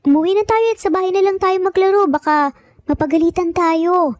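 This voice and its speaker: A woman's voice: very high-pitched, fairly even intonation, brisk, darkish tone, dry recording. A woman voicing an eager, earnest young boy in a dramatized story. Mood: worried, urging. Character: young triplet boys, eager and earnest little brothers.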